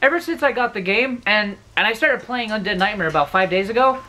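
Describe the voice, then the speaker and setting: A man in his twenties doing loud, profane let's-play commentary in his bedroom, his voice going hoarse. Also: sits high, lively intonation, a little fast. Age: 20s. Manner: loud, profane, yelling at the game. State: losing his voice. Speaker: gamer. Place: bedroom, indoors.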